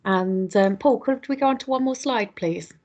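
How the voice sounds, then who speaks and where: A woman with a slightly croaky voice, hosting an online webinar and welcoming attendees in a chatty way, with lots of ums and quick self-corrections. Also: a little high, darkish tone. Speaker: webinar host. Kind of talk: welcoming an online audience, housekeeping.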